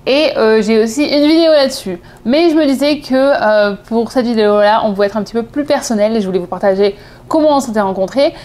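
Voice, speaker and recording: A woman's voice: fairly high pitch, fast, a little mellow. A woman in her thirties from southeast France with a French accent, telling personal stories in a chatty, digressive vlog style, often correcting herself. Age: 30s. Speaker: vlogger. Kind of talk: casual personal storytelling to camera.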